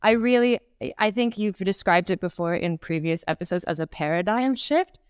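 A woman in her thirties with a Canadian accent, a Pilates instructor chatting as a guest on a video call, talking with lively gestures and lots of fillers. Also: mid-range voice, normal speed, clear, resonant tone, no room sound, studio quality. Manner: chatty, animated hand gestures, frequent fillers. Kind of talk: casual conversational interview. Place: home room, indoors.

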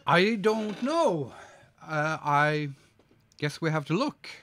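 A man in his forties with a slight cold, chatting slowly and hesitantly with a live audience while he draws at his desk, with plenty of ums and uhs. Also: on the high side, sing-song, a bit bright, slight background noise. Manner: slow, musing, hesitant with many fillers, reads chat aloud. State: sniffly with a cold. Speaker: artist streaming live. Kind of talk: casual live-stream chat while drawing. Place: home studio desk, indoors.

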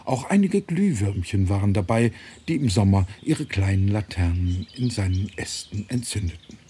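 A man's voice: lowish voice, animated, moderate pace, dark tone, a little hiss or hum. A middle-aged man reading a children's storybook as scripted audiobook narration. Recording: nature ambience and birdsong behind voice. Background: birds, forest ambience.

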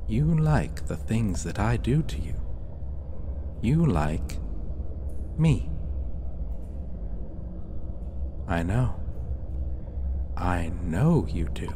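Man voicing a low, swooping, menacing vampire captor. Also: mellow, a little hiss or hum, full character voice. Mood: possessive, seductive. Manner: taunting, commanding, slow and deliberate. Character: cocky, menacing, possessive male vampire captor. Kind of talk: ASMR roleplay, dark fantasy monologue.

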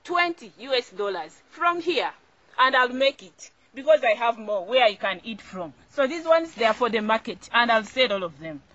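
A woman's voice: a little high, very animated, normal speed, fairly bright tone. A young Ugandan woman speaking informally to camera as a farming host, encouraging and emphatic, repeating phrases for emphasis. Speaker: farmer and video host. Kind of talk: informal instructional vlog to camera. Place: small outdoor home garden. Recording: dull, lo-fi camera audio.

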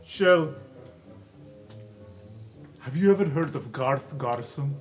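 A man's voice: mid-range voice, animated, slow pace, soft background music. A man playing a huge, earnest, flustered guard. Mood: nervous, confiding. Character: huge, lovestruck male guard, formal and earnest. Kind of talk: improvised tabletop roleplay.

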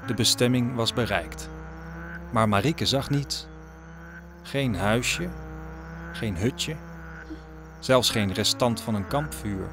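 A man's voice: mid-range voice, takes it slowly, pauses often. A man narrating a scripted audio drama as a storyteller. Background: music under the voice.

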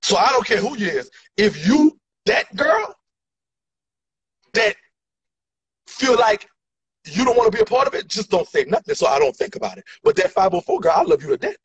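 A man's voice: sits high, big pitch swings, normal speed, pauses often, slightly rough. A man, ranting angrily and profanely at his followers in a selfie video, recorded on a phone held at arm's length indoors. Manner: angry, profane, repetitive, gesturing wildly. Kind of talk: heated selfie-video rant. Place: indoor room. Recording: dull lo-fi phone audio.

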